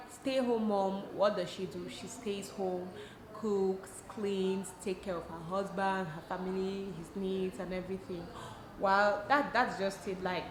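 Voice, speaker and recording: A woman with a Cameroonian accent hosting a casual advice vlog, talking in an animated, emphatic way and often posing rhetorical questions. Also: medium pitch. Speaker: host of a YouTube channel. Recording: echoey room, clear background noise.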